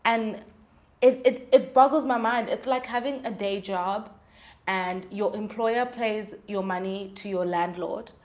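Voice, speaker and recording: A woman's voice: mid-range voice, a little slow, a little mellow. Emphatic woman giving advice. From South Africa. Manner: emphatic, articulate, candid, talks in rhetorical questions and examples. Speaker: guest, independent singer-songwriter. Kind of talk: conversational interview, giving advice.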